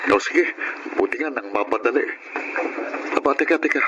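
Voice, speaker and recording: An actor playing a kind, honest young tricycle driver and older brother in a radio drama, speaking earnestly and politely. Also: male voice, medium pitch, moderate pace, heavy background noise, lightly acted. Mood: puzzled, earnest. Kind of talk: Filipino radio drama acting.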